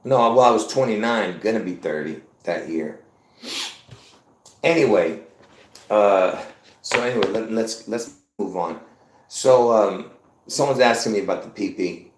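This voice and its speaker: A man's voice: fairly low pitch, measured pace, long pauses. Rambling fifty-something man, roomy echo. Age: 50s. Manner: repetitive, insistent, addresses viewers as folks. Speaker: livestream host. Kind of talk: rambling live-stream monologue.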